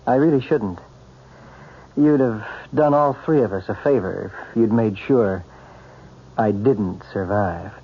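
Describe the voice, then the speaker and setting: Bitter, low-voiced invalid husband. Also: male voice, husky. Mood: bitter, weary. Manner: halting, sardonic. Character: bitter, jealous, self-pitying invalid husband after surgery. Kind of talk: 1970s radio drama acting. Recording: dull, noisy radio drama recording.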